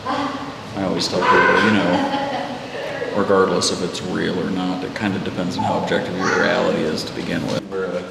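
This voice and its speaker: Deep-voiced man in an echoey room, music behind. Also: little pitch movement, moderate pace, creaky, distant mic, heavy background noise. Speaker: park naturalist being interviewed. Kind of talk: casual explanatory chat.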